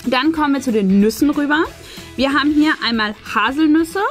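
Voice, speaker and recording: A woman in her thirties with a high voice hosts a casual unboxing. She chats and explains to her viewers, with faint music playing behind her. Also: a little fast. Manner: chatty, explanatory. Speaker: host of an unboxing channel. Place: small furnished indoor room. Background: faint background music.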